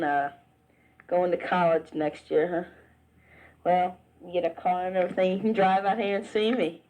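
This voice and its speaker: A man's voice: high-pitched, darkish tone, low-quality recording. A teenage boy from Virginia with a Southern accent talks casually to a friend back home. He rambles from one thought to the next, with plenty of "uh" and false starts, like someone chatting into a tape recorder. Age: teens. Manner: rambling, chatty, full of "uh" and restarts. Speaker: high school wrestler. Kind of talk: casual spoken letter to a friend.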